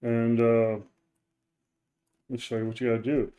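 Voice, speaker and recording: A man's voice: completely flat delivery, moderate pace, mellow. Man, professor, casual recorded lecture, rambling, filler words, voice-normalizing filter. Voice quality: says his voice is very deep. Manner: rambling, informal, many fillers and restarts. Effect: neural voice normalizing filter.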